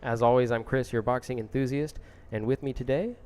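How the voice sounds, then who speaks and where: A man's voice: medium pitch, a little fast, warm tone. A man hosting a casual boxing podcast, introducing guests and teasing his co-host in friendly banter.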